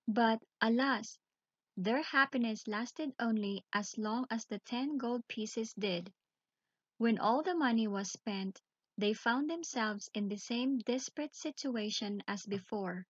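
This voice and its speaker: A woman storyteller reading a children's bedtime story aloud in a measured, deliberate way. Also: a little high, husky, no echo, muffled recording.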